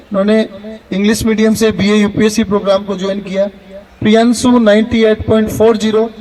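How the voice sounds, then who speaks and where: A man hosting student introductions at a college, brisk and quick with short praise, speaking into a handheld mic. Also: high-pitched, a little mellow. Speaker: college faculty host. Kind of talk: addressing a hall of students. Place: large hall. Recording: reverberant hall.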